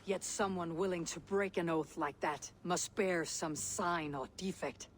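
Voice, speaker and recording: A woman playing a shrewd, loyal Viking warrior in a video game, speaking calmly and tersely as she probes. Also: fairly low pitch, a little slow, slight background noise, close to an everyday voice. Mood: calm, resolute. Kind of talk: video game dramatic acting.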